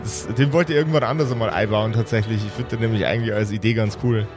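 A man's voice: fairly low pitch, steady tone, fast talker, music in the background. A man acting as game master, narrating an improvised tabletop game casually, with some English gaming slang.

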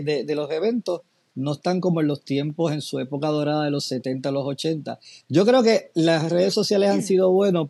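A man with a Puerto Rican accent, talking as a pageant expert on a chat show, animated and enthusiastic in quick bursts. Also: fairly high pitch, gravelly, dry recording. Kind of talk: casual talk-show chat.

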